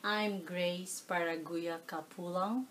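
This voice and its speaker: Filipino woman, middle-aged, lowish voice, faint room hiss, chatty interview. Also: takes it slowly. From Philippines. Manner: animated, gestures while talking, frequent fillers. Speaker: oral history interviewee. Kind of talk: casual oral history interview. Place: living room, seated on a leather sofa.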